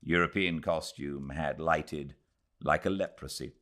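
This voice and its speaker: A British man narrating a literary classic as an audiobook reader, with measured, dramatic phrasing and expressive delivery. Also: a bass voice. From Britain.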